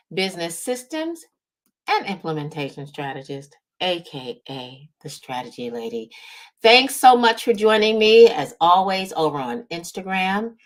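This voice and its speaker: An American woman from the Midwest hosting a casual motivational livestream as a business coach, speaking straight to her audience and stressing key words. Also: sits low. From Midwestern United States. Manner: emphatic, drawing out key words, checking in with "right?" and "y'all". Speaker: host, business strategist and coach.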